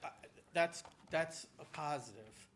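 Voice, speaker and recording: Insistent, halting man at a meeting. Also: a little high, a bit bright. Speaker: permit applicant at a public meeting. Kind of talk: informal pleading at a meeting. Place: indoor municipal meeting room. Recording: noisy town meeting room.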